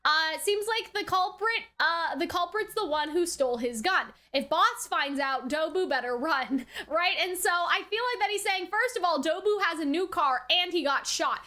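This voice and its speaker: A woman's voice: extremely high pitch, animated, moderate pace, few pauses, sharp tone. A woman giving a casual, rambling review and commentary, thinking aloud with lots of hedges and tag questions. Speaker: reaction and review host.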